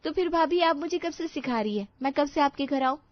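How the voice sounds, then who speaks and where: A woman voicing an eager, anxious young daughter-in-law in a family story, with an excitable, dramatic, pleading manner. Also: high-pitched, fairly quick, no echo, dull audio, pitched above the performer's own voice.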